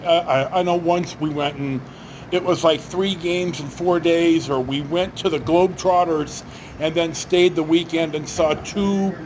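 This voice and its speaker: An older, retired man telling stories casually to camera in a halting, rambling, self-correcting way. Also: high voice, slight background noise, poor audio. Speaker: video storyteller.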